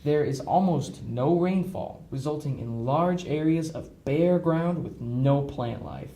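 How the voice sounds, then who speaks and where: A roomy, echoey recording of a young man narrating an educational film. His voice sits slightly high for a man and has a soft, mellow tone. He reads from a script and drops in jokey asides. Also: lively intonation, takes it slowly, creaky.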